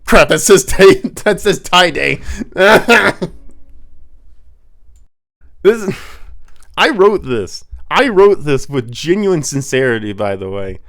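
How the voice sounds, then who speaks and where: A man's voice: on the high side, sing-song, slow pace, long pauses, fairly bright tone. A man with a slightly congested voice giving a theatrical reading of his own comic prose, prone to breaking up. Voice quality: congested sinuses. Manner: theatrical, often breaking into stifled laughter. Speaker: author reading own writing. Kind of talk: dramatic reading of comedic prose.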